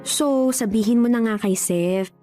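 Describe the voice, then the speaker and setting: A woman playing a pushy, teasing young best friend in a radio drama, with an expressive, coaxing delivery. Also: fairly high pitch, measured pace, close to an everyday voice. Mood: delighted, urging. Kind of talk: Tagalog radio drama acting. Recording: music behind the voice. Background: music.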